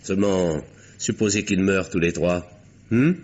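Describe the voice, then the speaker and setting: An actor playing a calm doctor-detective who exposes a murderer in an old radio drama, speaking in a measured, pointed way with dramatic pauses. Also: male voice, low voice, natural voice. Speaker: Doctor Westlake. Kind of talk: 1950s radio drama acting. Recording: dull old radio recording.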